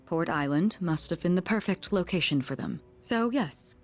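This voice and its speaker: A woman playing a composed, guilt-burdened high school senior girl in a video game, speaking in a formal, measured way. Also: animated, soft background music. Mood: somber.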